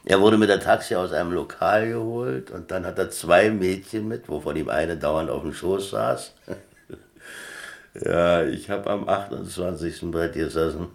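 Very deep-voiced, boastful old captain. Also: male voice, moderate pace, creaky. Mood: reminiscing. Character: boastful, easygoing old sea captain spinning tales over chess. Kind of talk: German radio play dialogue.

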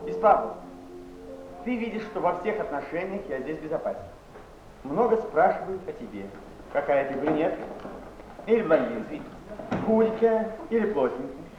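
An actor playing a proud, impulsive, ironic poet, declaiming theatrically in a muffled, noisy 1970s stage recording. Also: male voice, on the high side, big pitch swings, moderate pace, pauses often, roomy sound, music in the background. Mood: tender, playful. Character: Pushkin, proud, mocking, impulsive male poet.

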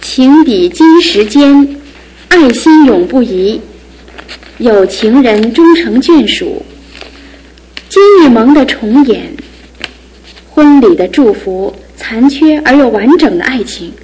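An actress playing a lively young woman, the groom's younger sister, speaking in a bright, teasing way. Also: a little high, takes it slowly, long pauses, poor audio. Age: young adult. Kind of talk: 1970s radio drama acting.

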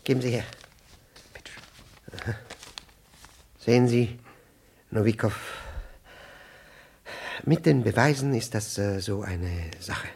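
An actor playing a flustered, pompous middle-aged diplomat, evasive and irritable, stammering and repeating himself in a 1960s German radio play. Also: male voice, lowish voice, takes it slowly, vocal fry, long pauses, darkish tone, husky, full character voice. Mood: evasive, nervous. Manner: stammering, repetitive, blustering.

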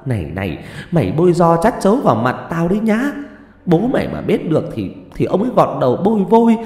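A man voicing a domineering, hot-tempered village mother who scolds, curses and laments in shrill exclamations, in an audio story. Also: a little mellow. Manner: scolding, shrill exclamations, coarse rustic cursing. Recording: echoey reverberant voice over music.

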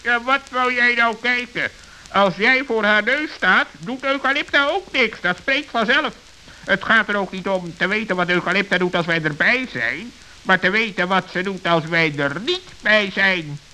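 Sharp, piercing, smug raven. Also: male voice, high voice, normal speed, voice pushed up. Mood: argumentative. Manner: argumentative, emphatic, conceited. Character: clever, self-satisfied male raven. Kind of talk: children's radio play acting. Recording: hissy, crackly old audio recording.

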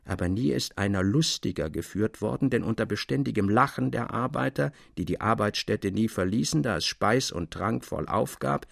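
Low, creaky male literary narrator. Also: dry recording, clean studio sound. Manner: measured, articulate storytelling with expressive emphasis.

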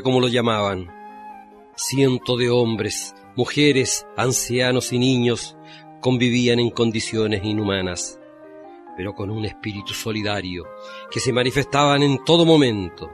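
A man narrating a scripted radio drama in a solemn, measured manner. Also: medium pitch. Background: loud music.

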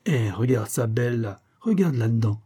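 A man's voice: mid-range voice, expressive, moderate pace, warm tone, slightly rough. A man voicing a brash, self-satisfied male tourist with a blunt, jovial, boastful manner, in a storybook reading. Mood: triumphant.

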